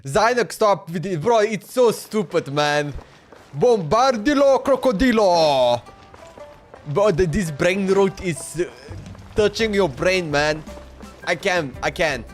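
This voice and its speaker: Male gaming streamer: high-pitched, unhurried, slangy off-the-cuff reactions over light background music. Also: animated. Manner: slangy, reactive, drawling interjections. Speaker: livestreamer. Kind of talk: casual gaming livestream commentary.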